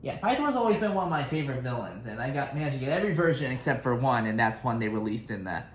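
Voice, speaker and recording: A man's voice: medium pitch, sing-song, quick pace, slight background noise. Young man rambling casually in an echoey room. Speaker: reaction video host. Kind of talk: casual rambling fan commentary.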